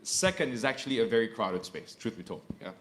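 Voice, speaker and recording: A man's voice: medium pitch, very animated, fairly quick. A man, speaking earnestly as a corporate executive on a panel and repeating phrases for emphasis. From Indonesia. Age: 30s. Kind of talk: panel discussion.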